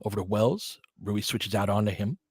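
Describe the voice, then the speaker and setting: A man's voice: on the low side, moderate pace, breathy edge, dry recording, clean studio sound. A man doing basketball play-by-play commentary in clipped phrases, with informal asides and casual analysis.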